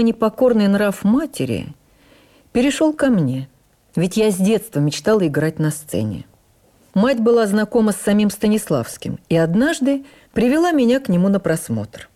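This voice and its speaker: A woman playing a stage actress recalling her youth in a measured, expressive radio memoir reading. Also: mid-range voice. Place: radio studio.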